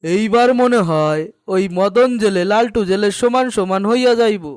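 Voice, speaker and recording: A man's voice: high-pitched, moderate pace, clean tone, natural voice. A man voicing a sly, greedy older village headman in a cartoon, speaking in an East Bengali dialect with a self-important, conspiratorial manner. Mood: sly, scheming. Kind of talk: cartoon dialogue acting.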